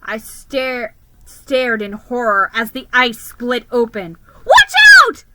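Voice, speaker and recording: A woman reads a children's story aloud with drama and expression. Her voice is bright and a little high, and she goes slowly and deliberately, sometimes tripping on a word. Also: dry recording, rough recording. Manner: deliberate, expressive, with stumbles over words. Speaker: storybook reader.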